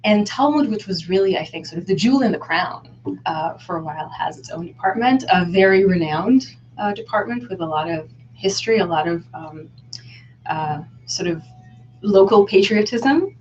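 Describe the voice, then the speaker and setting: Reflective woman, low voice. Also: lively intonation, darkish tone. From Israel. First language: Hebrew. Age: 30s. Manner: thoughtful, reflective, pauses to search for words. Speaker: guest scholar. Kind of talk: casual interview. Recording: lo-fi online video call.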